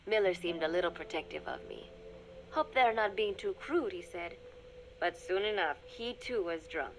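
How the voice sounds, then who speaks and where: An actress playing a young secret agent confiding in a recorded diary, speaking in measured, formal phrases that often drop to a murmur, wistful and resigned. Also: female voice, lowish voice, a little hiss or hum, close to an everyday voice, poor audio. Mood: wry, amused. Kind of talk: scripted dramatic monologue, recorded diary.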